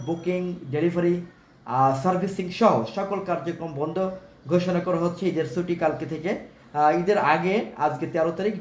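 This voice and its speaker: A man with a Bangladeshi accent gives an unscripted live sales pitch in a repetitive, formally polite, instructional way. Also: a little mellow. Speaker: live-stream product seller. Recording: echoey room with faint background noise.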